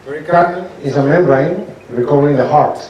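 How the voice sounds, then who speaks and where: A man gives an informal lecture as a doctor, speaking haltingly and asking his audience questions. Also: fairly high pitch, highs cut off, crowd noise. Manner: halting, restarts sentences, asks audience rhetorical questions. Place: lecture hall. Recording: echoey hall, from a distance, faint noise.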